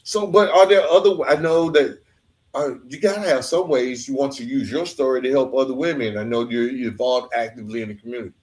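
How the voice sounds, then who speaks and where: A man with a mid-pitched voice hosts a conversational podcast. He talks informally and earnestly, using everyday colloquial phrasing. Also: fairly quick. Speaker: podcast host. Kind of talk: conversational interview.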